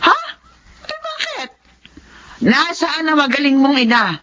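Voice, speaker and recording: An actress with a Filipino accent playing a sharp-tongued, disapproving old grandmother in a radio drama. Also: high voice, huge pitch swings, unhurried, full character voice. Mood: scornful, concerned.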